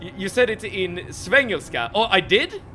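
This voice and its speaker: High, bright-voiced streamer man. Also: big pitch swings, moderate pace. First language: Swedish. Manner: chatty, stammers and repeats words, laughs often. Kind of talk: casual chat while playing a game.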